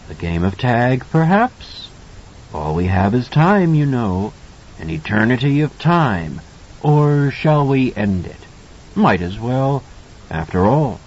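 A man's voice: very animated, takes it slowly, a little mellow, husky, lo-fi sound, exaggerated voice. A man voicing a sinister monstrous thing in a man's shape that taunts in a menacing whisper. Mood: taunting. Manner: mocking, menacing whisper turning to shrill panic.

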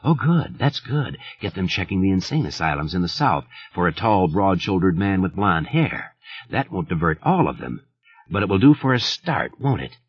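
A man voicing a menacing, mocking man who talks in a slow, low, easy drawl. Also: sing-song, husky, heavily acted. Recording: muffled lo-fi audio.

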